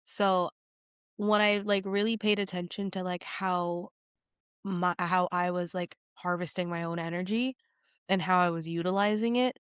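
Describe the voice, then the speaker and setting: A woman's voice: lowish voice, level tone, normal speed, clear, resonant tone, no echo, studio quality. A woman getting over a cold, sounding nasal, chatting as a podcast guest and rambling with lots of fillers. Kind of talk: casual conversation.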